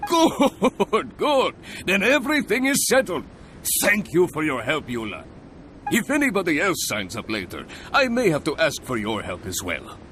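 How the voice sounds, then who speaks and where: A man's voice: a little high, wildly swooping pitch, normal speed, a bit bright, slight background noise. A man voicing an earnest, fussy, effusive guild organiser in a video game. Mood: relieved, grateful. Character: earnest, flustered male guild organiser, eager and grateful. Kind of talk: English game voice acting.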